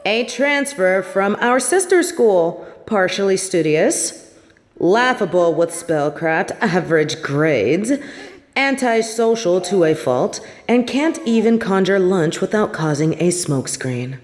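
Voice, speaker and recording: Woman acting a scornful older headmistress, fantasy audio drama, cutting, slow and deliberate, long reverb. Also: on the low side, lively intonation. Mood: scornful listing. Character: stern, scornful older headmistress of a magic school. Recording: long reverberant hall with background noise.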